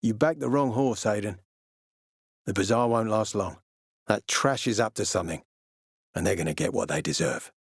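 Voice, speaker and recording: An actor playing a tough, suspicious peacekeeper commander in a video game, terse, sardonic and threatening. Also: male voice, lowish voice, normal speed, gravelly, pauses often, husky, no room sound, clean studio sound. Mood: contemptuous threat. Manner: terse, menacing, sardonic, profane. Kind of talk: video game character dialogue.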